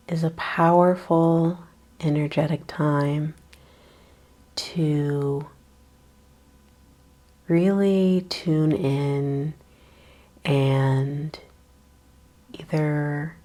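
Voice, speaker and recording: Slow, soft-spoken woman guiding meditation. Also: sits low. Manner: slow, soft-spoken, with long pauses and breathy trailing words. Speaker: yoga nidra teacher. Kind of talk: guided meditation.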